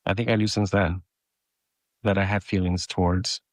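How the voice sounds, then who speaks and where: Middle-aged male guest from Mexico, native Spanish speaker, deep voice, dry sound, stop-start storyteller. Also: little pitch movement, moderate pace, no room sound. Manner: hesitant, repeats words and restarts often. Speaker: podcast guest. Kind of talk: personal storytelling in conversation.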